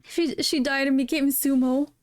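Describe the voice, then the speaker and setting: A woman's voice: sits high, fairly even intonation, normal speed, darkish tone, no room sound, clean studio sound. A woman hosting a casual interview chat, giggly, often repeating her words. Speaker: podcast host.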